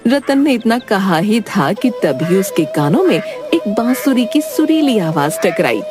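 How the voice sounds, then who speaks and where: A woman's voice: mid-range voice, wildly swooping pitch, a little slow, music in the background. A woman narrating a cartoon moral story from a script.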